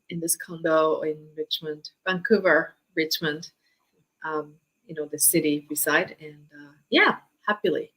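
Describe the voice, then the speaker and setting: A woman's voice: on the low side, pushed and bright, low-quality recording. A woman in her fifties from Japan, with a Japanese accent, telling her personal story informally as an interview guest. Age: 50s.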